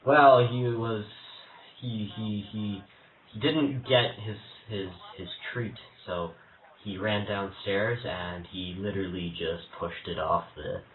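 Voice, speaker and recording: A man's voice: on the low side, takes it slowly. A young man making a prank phone call, stammering and hesitant in a mock-earnest tone. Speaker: prank caller. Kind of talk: prank phone call, posing as a troubled single father. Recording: tinny phone line, echoey room, faint hiss.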